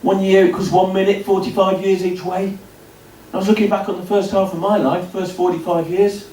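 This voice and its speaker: A man's voice: high-pitched, level tone, fairly quick, warm tone, a little hiss or hum. Self-deprecating comic in his fifties. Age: 50s. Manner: dry, self-deprecating storytelling with punchy asides. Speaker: stand-up comedian. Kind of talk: stand-up comedy set. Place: comedy club stage before a laughing audience. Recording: roomy live venue recording from a distance.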